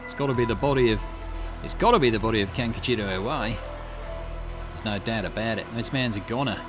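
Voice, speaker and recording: A man's voice: on the low side, fast talker. A man hosting a gaming channel, reading a detective game's dialogue aloud in a let's play, swinging between character lines. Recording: game music playing underneath. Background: game music.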